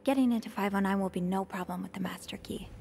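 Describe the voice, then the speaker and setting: Kind curious maid detective girl done by a woman, video game voice, mid pitch, bit of room noise. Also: quick pace, vocal fry, darkish tone, natural voice. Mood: curious, musing. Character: nosy, empathetic hotel maid, young woman sleuth.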